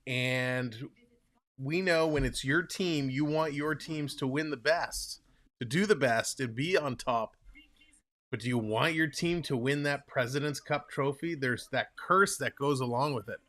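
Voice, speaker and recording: A man's voice: mid-range voice, unhurried, fairly bright tone. Chatty Toronto man hosting a hockey stream. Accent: Toronto Canadian. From Canada. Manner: chatty, rambling, reads and answers chat aloud. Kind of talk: casual live stream chat with viewers.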